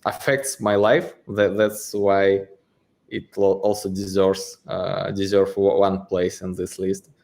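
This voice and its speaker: A man's voice: deep voice, level tone, takes it slowly, gravelly, a little mellow. A man, a guest answering interview questions, hesitating and searching for words with many fillers. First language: Russian. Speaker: guest, exchange co-founder.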